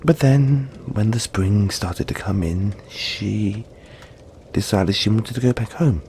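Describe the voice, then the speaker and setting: A man voicing a gentle, cuddly young yeti in a soft-spoken, hesitant, soothing roleplay addressed to the listener. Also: on the low side, slow, slight background noise. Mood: fond, chatty.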